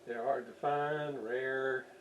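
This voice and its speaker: A man giving an unscripted hands-on demonstration at a workbench, halting as he thinks aloud while he works. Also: medium pitch, steady tone, a bit bright. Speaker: radio restoration hobbyist, demonstrator. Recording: distant mic with faint background noise.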